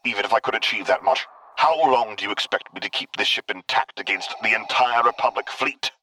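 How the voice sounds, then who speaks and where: A man voicing a stern, exasperated armored dark lord in an audiobook, with formal, clipped, commanding speech. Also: mid-range voice, steady tone, a little fast, slight background noise, pitched above the performer's own voice, natural voice, low-quality recording. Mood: angry, scornful. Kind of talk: audiobook character voice.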